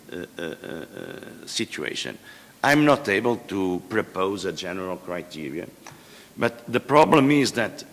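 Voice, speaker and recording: Low-voiced man in a reverberant hall. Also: fairly bright tone, a little hiss or hum. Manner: hesitant, many fillers and restarts. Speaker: panel expert. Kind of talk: conference panel remarks. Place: large conference hall. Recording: long-reverb conference hall.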